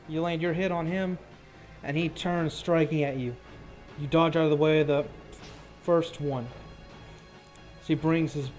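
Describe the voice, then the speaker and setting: A man's voice: on the high side, a little mellow. A man, improvising combat narration as game master of a tabletop roleplaying game.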